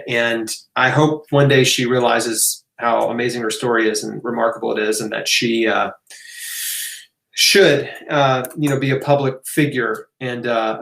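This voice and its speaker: A man's voice: medium pitch, creaky, fairly bright tone. A man hosting a casual live Q&A, answering questions off the cuff in a conversational way with emphatic asides. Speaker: host of a live health Q&A. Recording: roomy, echoing room sound.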